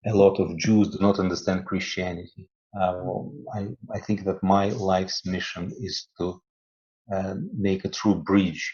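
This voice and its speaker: A man from Russia with a Russian accent, answering interview questions slowly and haltingly, often stopping to search for words. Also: low voice, level tone, darkish tone, slightly rough, dull audio. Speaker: interview guest, poet and theologian.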